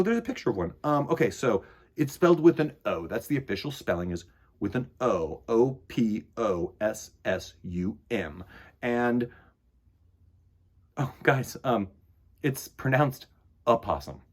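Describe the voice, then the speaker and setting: A man hosting a children's storytime, chatting to young listeners and reading aloud in a playful, animated way. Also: medium pitch.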